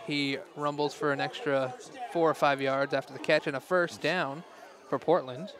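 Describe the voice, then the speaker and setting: Hoarse play-by-play man, crowd behind. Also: fairly high pitch, steady tone. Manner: quick, chatty, laughs easily. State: losing his voice. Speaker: play-by-play announcer. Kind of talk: live football play-by-play commentary. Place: outdoor high school football game. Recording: crowd noise in background. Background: crowd noise.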